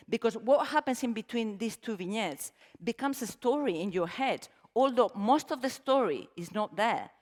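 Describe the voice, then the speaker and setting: A middle-aged woman with a Spanish accent presents a talk to a conference audience. Her speech is warm and self-deprecating, full of ums and restarts. The long reverb of a large hall trails behind her words. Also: mid-range voice. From Spain. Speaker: conference speaker. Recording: reverberant hall, stage mic.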